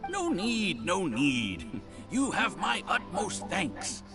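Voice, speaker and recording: An actor voicing an eager, flustered middle-aged landlord who is overly polite, in a video game. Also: male voice, high voice, huge pitch swings, takes it slowly, full character voice. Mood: grateful. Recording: game audio with music underneath.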